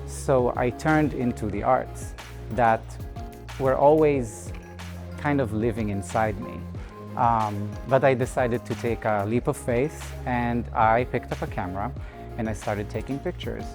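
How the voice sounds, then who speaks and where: A man from Israel, an artist speaking thoughtfully and in measured phrases about his own work. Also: mid-range voice, music in the background. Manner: thoughtful, measured, with pauses and restarts. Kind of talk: reflective personal statement.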